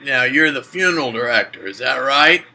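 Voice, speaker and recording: A man's voice: medium pitch, expressive, normal speed, background noise, lightly acted. A man playing a suspicious seventy-six-year-old father who rambles indignantly in folksy phrases on a prank call. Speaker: prank caller.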